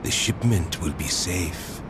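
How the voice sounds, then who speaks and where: An actor playing a calm, sinister henchman of a crime general in a video game, with unhurried menace. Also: deep voice, heavy background noise. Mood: calm assurance. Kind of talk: video game cutscene acting. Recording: loud music behind the voice.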